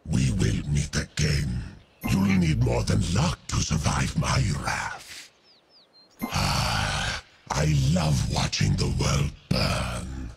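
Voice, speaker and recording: An actor playing a menacing fire demon of metal and flame in a video game, with a gravelly growl and a grandiose, contemptuous manner. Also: male voice, very deep voice, slight background noise, full character voice. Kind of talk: video game character voice lines.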